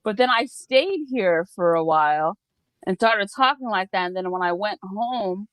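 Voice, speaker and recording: Relaxed woman telling a casual story. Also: medium pitch, unhurried, clean tone, dry recording. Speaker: co-host. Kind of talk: casual banter on an internet radio show.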